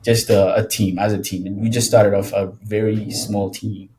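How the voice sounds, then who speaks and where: A man's voice: lowish voice, normal speed, slight background noise. A young man, a podcast guest chatting loosely with many restarts. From Ethiopia. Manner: relaxed, rambling with frequent restarts and laughs. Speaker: podcast guest, worship singer. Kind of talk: casual conversational interview.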